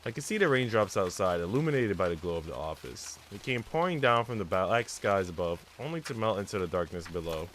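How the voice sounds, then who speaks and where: A man's voice: low-pitched, expressive, fairly quick, hardly any pauses, fairly bright tone. A man on a live stream, reading visual novel text aloud and chatting casually with viewers. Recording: noisy mic with typing sounds.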